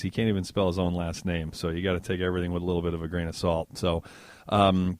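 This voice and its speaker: A man hosting a podcast interview, joking and relaxed, with many fillers. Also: low voice, no echo, clean studio sound. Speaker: podcast host. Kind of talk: casual interview chat.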